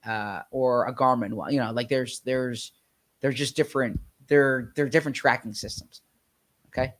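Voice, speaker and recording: A man co-hosting a sports podcast in casual chat, slightly nasal from a cold, talking haltingly with many restarts. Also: medium pitch, steady tone, fairly bright tone.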